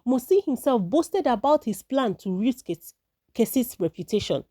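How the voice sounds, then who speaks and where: Calm, firm village man read by a woman, mellow. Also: on the high side, moderate pace, no echo, studio quality. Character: calm, firm male villager testifying honestly. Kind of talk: storybook character voice.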